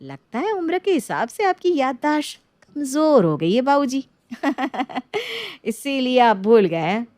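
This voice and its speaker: A woman's voice: high voice, sing-song, slow, darkish tone, dry recording, voice pushed up, full character voice. A woman playing a haughty, greedy daughter-in-law in a moral story, mocking and condescending. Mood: mocking, smug. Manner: condescending, sneering.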